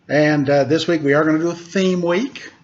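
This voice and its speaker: A man hosting a storytime, reading a children's tale aloud with measured, pausing phrasing. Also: on the high side, fairly even intonation. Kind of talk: read-aloud storytelling with casual introduction. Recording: dull lo-fi recording.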